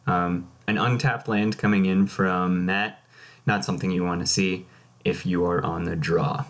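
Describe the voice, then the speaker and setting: A man's voice: low voice, fairly even intonation, unhurried. A man doing casual live play-by-play commentary on a card game match.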